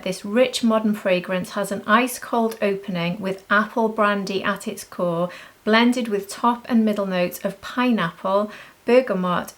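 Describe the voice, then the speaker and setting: A woman chatting casually as a beauty vlogger reviewing products, gushing and repeating words for emphasis. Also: medium pitch.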